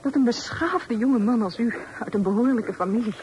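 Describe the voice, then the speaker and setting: A woman's voice: fairly high pitch, lo-fi sound, close to an everyday voice. Proud, sensitive young lady, acted by a woman, faint noise. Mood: wounded, reproachful. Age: young adult. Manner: formal, earnest, halting when upset. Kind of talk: period radio drama acting. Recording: slightly noisy old radio recording.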